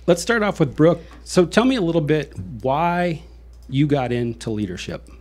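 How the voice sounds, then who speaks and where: A man's voice: on the high side, lively intonation, normal speed, a little mellow. A man hosting a leadership consulting podcast, chatting casually and introducing his guests. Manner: upbeat, conversational introductions. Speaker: podcast host. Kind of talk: casual interview.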